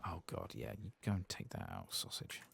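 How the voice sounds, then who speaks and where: A soft-spoken man giving casual, musing ASMR commentary as he plays a game. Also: deep voice, huge pitch swings, normal speed, creaky, a little hiss or hum. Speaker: gaming ASMR host.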